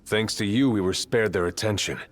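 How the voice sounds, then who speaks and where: A man playing a stern, composed royal guard and mentor in a video game, speaking in a measured, formal, authoritative way. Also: fairly low pitch, slight background noise, lightly acted. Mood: businesslike. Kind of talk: video game cutscene acting.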